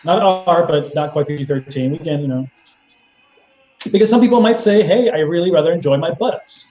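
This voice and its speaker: Man, host, casual group chat, joking, rambling, fairly high voice, echoey room. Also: fairly quick, dark tone. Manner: joking, rambling, many fillers. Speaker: podcast host asking the group questions.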